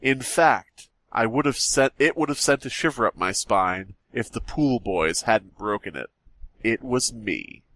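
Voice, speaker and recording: A man with a lively, swooping voice reads a silly story in a mock-solemn, dramatic tone. The sound is dry and close, with no room around it. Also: mid-range voice, a little slow, no echo. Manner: dramatic mock-serious reading, laughing often. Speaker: podcast co-host. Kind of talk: comedic reading and banter.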